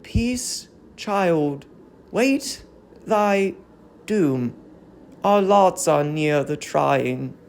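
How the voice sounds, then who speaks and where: A woman acts an aged queen brought low in a classical verse tragedy. Her voice is creaky and slow, stern and weary, drawing out each solemn line in grief. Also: animated, a little mellow, a little hiss or hum, exaggerated voice. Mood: grave, resigned. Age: older. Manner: mournful, solemn, drawn-out delivery.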